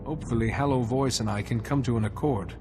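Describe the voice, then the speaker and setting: An actor playing a calm, resolute warrior-monk hero with a measured, dryly understated manner in a video game cutscene. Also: male voice, medium pitch, close to an everyday voice. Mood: composed, dry. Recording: music behind the voice.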